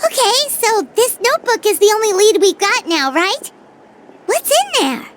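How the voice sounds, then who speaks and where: A woman voicing a small, excitable, cheeky childlike fairy companion in a video game. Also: very high voice, normal speed, a little hiss or hum. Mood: excited, curious. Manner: refers to self in third person, exclamatory. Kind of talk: video game character acting.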